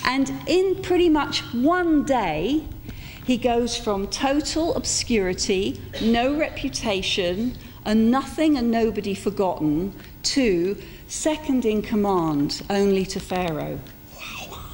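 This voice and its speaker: A middle-aged woman giving an informal, reflective sermon in a conversational storytelling style, with pauses for emphasis. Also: fairly high pitch, lively intonation, darkish tone. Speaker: preacher. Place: church interior, speaking to a congregation. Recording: echoey church hall with background noise.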